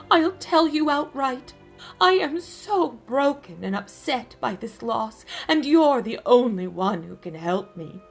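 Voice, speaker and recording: An actress playing a melodramatic, scheming femme fatale in a parody of a 1940s detective radio play, with theatrical delivery. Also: female voice, on the high side, vocal fry, full character voice. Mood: theatrical grief. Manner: theatrical, breaking into knowing asides.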